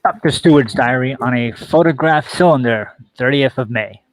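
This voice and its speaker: A man serves as narrator for a script reading. He delivers stage directions and scene headings in a medium-pitched voice. The sound is dry, with very little room. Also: normal speed, no room sound, poor audio.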